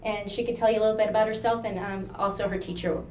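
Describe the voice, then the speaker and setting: A woman's voice: mid-range voice, fairly even intonation, fast, darkish tone. A woman from the school staff introducing a student at a ceremony, hesitant with frequent ums. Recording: echoey hall from far off, faint noise.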